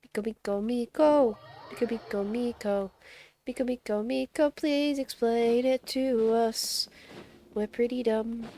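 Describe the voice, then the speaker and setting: A woman's voice: on the high side, unhurried, warm tone. A woman streaming a game, reacting casually in a silly, sing-song, jokey way. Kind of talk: casual playful live reactions. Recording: faint background noise.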